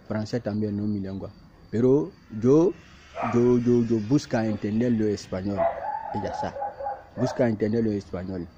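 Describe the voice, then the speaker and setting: A man speaking halting, repetitive second-language Spanish, insisting and defending himself in a self-recorded plea, outdoors in a field. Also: mid-range voice. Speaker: migrant speaking to camera. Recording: muffled with clear background noise and barking dog.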